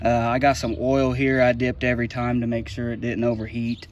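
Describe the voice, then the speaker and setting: Middle-aged man, medium pitch, informal how-to host, phone recording outdoors, faint background noise. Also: monotone, brisk, highs cut off. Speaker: YouTube channel host, trucking business owner. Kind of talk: casual how-to walkthrough. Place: outdoors beside a trailer. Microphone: handheld phone at arm's length.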